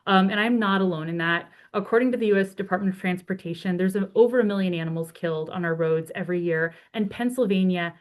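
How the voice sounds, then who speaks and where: A woman presents over a video call, friendly and chatty, leaning on ums and uhs as she goes. Her voice is slightly hoarse from a cold, at an ordinary middle pitch. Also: fast, hardly any pauses. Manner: friendly, talks freely with many ums and uhs. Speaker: conservation advocate presenting a report. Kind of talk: webinar presentation.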